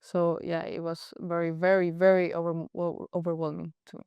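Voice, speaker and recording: Soft-spoken young Colombian woman. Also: fairly low pitch, little pitch movement, clear, resonant tone, dry recording. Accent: Colombian Spanish. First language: Spanish. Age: young adult. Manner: halting non-native English with restarts. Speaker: guest, college goalkeeper. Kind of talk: casual interview chat.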